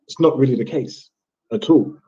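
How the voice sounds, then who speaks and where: A man born in England and raised in Jamaica, speaking on a live panel stream. His voice is dark and slightly husky, and his loose, rambling talk comes through a muffled, lo-fi call connection. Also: medium pitch, moderate pace. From born in England, grew up in Jamaica. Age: adult father with children. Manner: relaxed, rambling with many restarts. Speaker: panel guest. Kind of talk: casual livestream chat.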